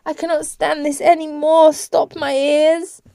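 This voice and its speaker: A woman's voice: high-pitched, fairly even intonation, unhurried, warm tone, no room sound, studio quality, voice pushed up. A woman voicing an anguished, devoted young woman under a spell in a storybook, faltering and pleading.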